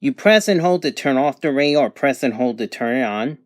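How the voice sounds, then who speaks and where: A man giving an unscripted product demonstration in a halting, matter-of-fact way. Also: mid-range voice, lively intonation, noisy background. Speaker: product reviewer.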